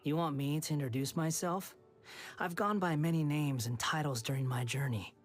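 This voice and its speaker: A male actor playing a haughty, sardonic, sharp-tongued immortal young man in a cool, condescending game voice. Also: on the high side, moderate pace, faint music behind, lightly acted. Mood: haughty, dismissive. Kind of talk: scripted game voice acting.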